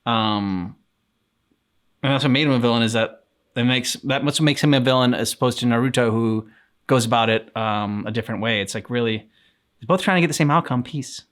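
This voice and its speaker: A man giving casual reaction commentary in a halting way, thinking aloud. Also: mid-range voice, a bit bright. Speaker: reaction channel host. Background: faint game music.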